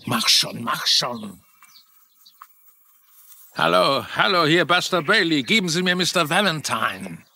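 A man's voice: on the high side, very animated, slow, vocal fry, long pauses, pushed and bright, exaggerated voice. An actor playing a nervous, insistent man on the phone in a dubbed crime drama. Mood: impatient. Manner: urgent, insistent. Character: nervous, agitated male gangster associate on the phone.

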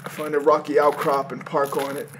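An actor playing a sardonic, anxious, foul-mouthed young male survivor who narrates in first person for an audio drama, often halting and stammering. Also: on the high side, monotone, reverberant, background noise, close to an everyday voice. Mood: grim, weary narration.

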